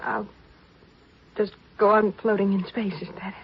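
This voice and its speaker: Casting: woman for frightened, gentle young stowaway, 1950s radio style, tremulous and bravely resigned, dulled noisy old sound. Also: mid-range voice, flat delivery, a little slow, dull audio, natural voice. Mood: wistful. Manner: tremulous, hesitant, plaintive. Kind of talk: 1950s radio drama acting.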